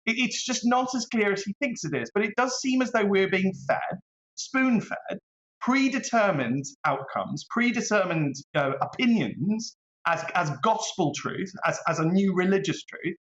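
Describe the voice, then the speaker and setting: A British man speaks as an opinionated interview guest, articulate and emphatic, often repeating a phrase to drive it home. His voice sits a little high for a man. The sound is echoey and slightly dull, as if from a live room. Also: animated, a little slow, a bit bright. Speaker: barrister interviewed as a guest commentator. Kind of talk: opinionated current-affairs interview.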